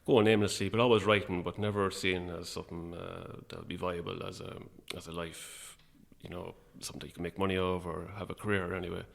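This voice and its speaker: A man's voice: deep voice, flat delivery, a little fast, vocal fry, few pauses, slight background noise. A middle-aged Irish man chatting casually and modestly as an interview guest about his work. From Ireland. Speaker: guest, playwright and actor.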